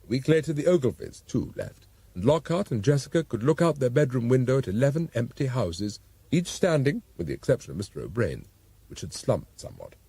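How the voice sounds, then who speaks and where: A man narrates an audiobook with wry, expressive storytelling. His pitch moves in a lively way and his voice has a slightly husky grain. The recording is close and dry, as in a studio booth. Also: brisk, no echo.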